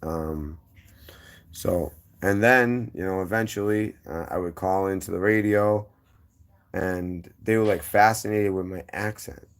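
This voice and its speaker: Man, thick Boston accent, very deep voice, rambling guest storyteller, drifts off on tangents. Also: measured pace. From inner-city Boston. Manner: rambling, drifting between tangents and correcting himself. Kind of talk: casual storytelling.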